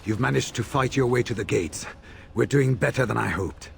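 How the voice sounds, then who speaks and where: An actor playing a seasoned warrior-strategist, calm, measured and decisive, in a fantasy game with faint ambience behind. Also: male voice, medium pitch, gravelly, a little hiss or hum. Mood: relieved, steady. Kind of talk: dramatic fantasy game dialogue.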